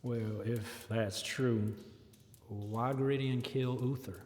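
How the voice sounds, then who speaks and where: An actor playing a wary, folksy fugitive mage in an audio fantasy drama, speaking in drawling rustic phrases. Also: male voice, medium pitch, fairly even intonation, slow pace, a little mellow, close to an everyday voice. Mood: wary, reasoning. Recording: roomy, long echo.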